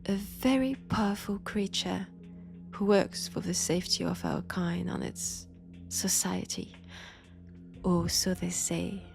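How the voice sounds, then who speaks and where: A woman voicing a seductive, menacing ancient vampire in an intimate audio roleplay, with a French accent and a breathy delivery that dips into whispers. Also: lowish voice, steady tone, takes it slowly, soft background music. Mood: ominous.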